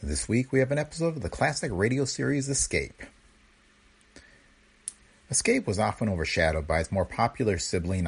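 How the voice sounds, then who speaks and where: An American man with a gravelly, husky voice reading a scripted podcast introduction as host. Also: low-pitched.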